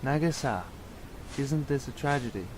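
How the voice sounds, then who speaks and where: A man playing a grave, reflective young man, lecturing in a measured, explanatory way in an audio drama. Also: mid-range voice, expressive, noisy background, close to an everyday voice.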